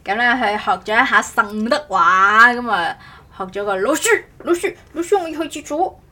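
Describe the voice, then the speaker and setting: A woman co-hosting a casual podcast, telling stories in an animated, rambling way. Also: on the high side, normal speed. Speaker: podcast co-host. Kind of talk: casual chat.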